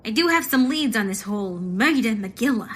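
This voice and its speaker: A woman's voice: on the high side, animated, fairly quick, breathy edge. A woman voicing an eager, determined young female would-be police officer, earnest and peppy, in a comedic audio drama.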